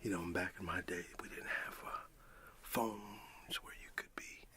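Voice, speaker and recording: A man playing a warm, excited father who talks affectionately and in a folksy way to his young son in a breathy whispered ASMR roleplay. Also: low-pitched. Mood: fond, nostalgic. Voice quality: breathy whisper with gravelly creak. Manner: unhurried, folksy, affectionate, with long pauses.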